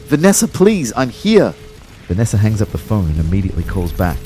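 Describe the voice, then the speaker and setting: A man's voice: lowish voice, fairly quick, faint music behind. A young man playing an anxious narrator of his own out-of-body ordeal in an audio drama. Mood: desperate pleading. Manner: expressive, shifting from hushed narration to urgent pleading. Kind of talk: first-person audio drama narration with acted dialogue.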